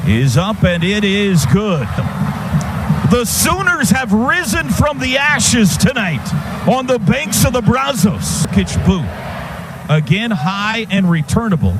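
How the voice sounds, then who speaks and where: An American man calling football play-by-play live on radio in clipped running phrases that build to shouts on big plays. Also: high voice, very animated, takes it slowly. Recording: noisy stadium radio broadcast.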